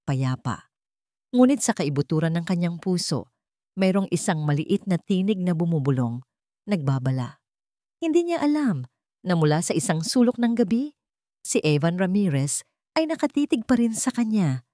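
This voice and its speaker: Filipina lady narrating a romance drama story, lowish voice, dry studio sound. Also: darkish tone, no echo.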